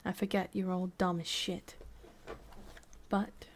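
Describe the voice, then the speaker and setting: A woman with an English accent playing a cruel, condescending female boss in an ASMR roleplay, murmuring with drawn-out pauses. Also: lowish voice, little pitch movement. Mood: condescending, controlled.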